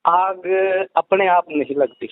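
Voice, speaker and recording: A man's voice: fairly high pitch, slow, no room sound. A man giving explanations in a phone interview as an investigator, emphatic and repeating his phrases. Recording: tinny, dry phone-call line.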